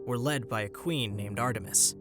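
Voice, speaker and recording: A man's voice: mid-range voice, normal speed, lightly acted. Man voicing an earnest young alien historian. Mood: reflective, earnest. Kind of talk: animated film voice-over narration and dialogue. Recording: music under the voice.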